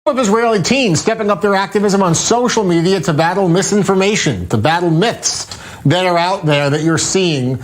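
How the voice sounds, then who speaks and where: Man, broadcast interviewer, high-pitched voice, news questioning style. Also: moderate pace.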